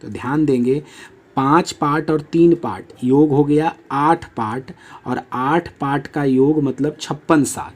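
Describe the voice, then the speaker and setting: A man's voice: a little high, unhurried, a little mellow. A male maths teacher leading an exam-prep lesson. He works through each problem aloud, asking the class questions and then answering them himself, and keeps turning to the viewers in a friendly way. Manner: tags lines with a friendly address to viewers.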